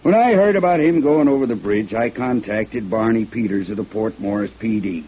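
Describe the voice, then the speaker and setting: Jovial, husky retired sleuth. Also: male voice, on the low side, normal speed. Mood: chatty, eager. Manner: bantering, mock-complaining. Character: jovial, nosy retired male private investigator turned farmer. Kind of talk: 1950s radio drama acting. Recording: muffled band-limited vintage radio recording.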